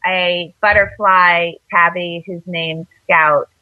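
A woman's voice: lowish voice, steady tone, slow pace, no room sound, narrow, tinny audio. A woman guest on a radio interview show, telling stories casually, rambling with lots of fillers. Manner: rambling, many fillers and restarts. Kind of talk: casual storytelling.

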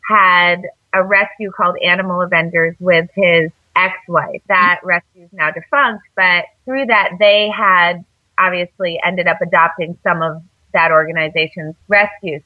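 A woman's voice: on the low side, a little slow, no room sound, narrow, tinny audio. A woman guest on a radio interview show, telling stories casually, rambling with lots of fillers. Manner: rambling, many fillers and restarts. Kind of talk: casual storytelling.

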